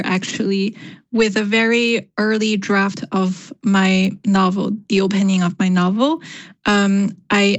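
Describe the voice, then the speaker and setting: Young female novelist, Chinese first language, thoughtful and hesitant answers. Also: medium pitch. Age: young adult. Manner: thoughtful, with many fillers. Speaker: novelist guest. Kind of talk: radio interview.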